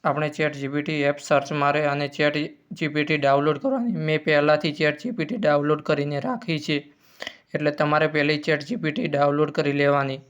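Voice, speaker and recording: A man's voice: a little high, steady tone, brisk, fairly bright tone, clean tone, muffled recording. A man hosting an informal step-by-step tutorial, using repetitive instructional phrasing. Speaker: tutorial host.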